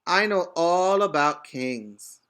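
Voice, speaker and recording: Storybook role for a man: boastful young boy in a king costume, demanding and haughty, slow, emphatic delivery. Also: high-pitched, animated, fairly bright tone, pitched above the performer's own voice, exaggerated voice. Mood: proud, boastful.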